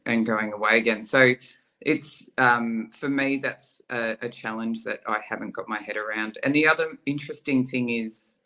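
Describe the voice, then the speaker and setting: A man speaking as a guest on a video call, working through his ideas out loud with plenty of ums. His tone is bright and forceful, and his pitch sits in a middle range. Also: poor audio. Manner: thinks aloud, frequent ums. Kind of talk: casual conversation.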